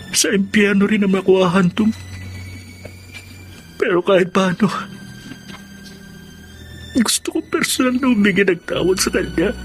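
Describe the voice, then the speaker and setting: An actor playing a young man full of remorse in a radio drama, confessing earnestly and begging for forgiveness. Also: sits high. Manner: earnest, guilt-laden confession. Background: music.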